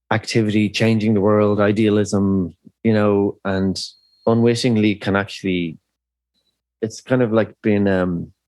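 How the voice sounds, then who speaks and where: A middle-aged Irish musician, speaking thoughtfully in a podcast interview, pausing often with fillers and restarts. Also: male voice, on the low side, a little mellow, no echo. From Ireland. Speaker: musician guest.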